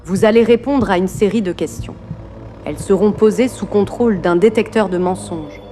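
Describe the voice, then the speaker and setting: A cold AI interrogator of an intelligence agency, voiced by a synthetic woman's voice, speaks in clipped, formal commands with a slight British accent. Her pitch sits in the middle, and faint music plays underneath. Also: moderate pace, warm tone, lightly acted.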